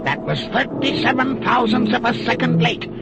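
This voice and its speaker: An actor in 1940s radio comedy playing a cranky, absent-minded old celestial bureaucrat, muttering and easily distracted. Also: male voice, extremely high pitch, animated, normal speed. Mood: fussy, distracted. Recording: muffled old radio broadcast, loud music.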